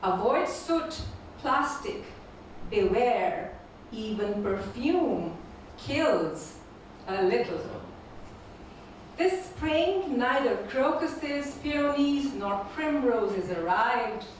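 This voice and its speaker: A woman whose first language is Urdu, reading her poems slowly and repeating each first line at a live poetry event. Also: on the high side, lively intonation, slight background noise. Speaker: featured poet. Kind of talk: poetry reading with spoken introductions. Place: performing arts venue. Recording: echoey hall from far off.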